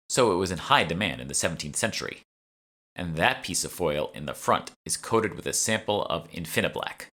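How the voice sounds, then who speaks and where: A man's voice: deep voice, pushed and bright, heavily acted. A man voicing a polite, earnest woman curator who explains things courteously in an audiobook. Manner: courteous, informative, eager to please. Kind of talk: audiobook character dialogue.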